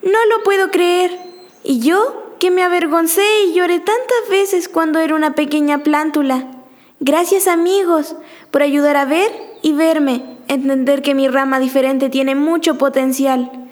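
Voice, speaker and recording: Woman as a slow, very high-voiced young seedling tree. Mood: moved, hopeful. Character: young seedling tree, emotional, self-conscious, later hopeful. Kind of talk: school radio play acting.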